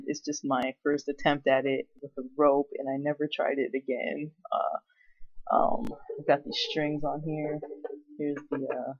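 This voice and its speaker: Higher-voiced man rambling casually, muffled audio. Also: no room sound. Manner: rambling, hesitant, self-effacing. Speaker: hobbyist vlogger. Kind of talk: casual unscripted show-and-tell.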